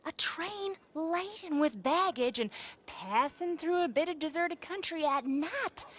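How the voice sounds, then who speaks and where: A woman's voice: very high voice, expressive, unhurried, fairly bright tone, slight background noise, heavily acted. An actress playing a streetwise young woman outlaw of the Old West in a video game, casual and scheming. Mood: conspiratorial. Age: young adult. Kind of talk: video game cinematic acting.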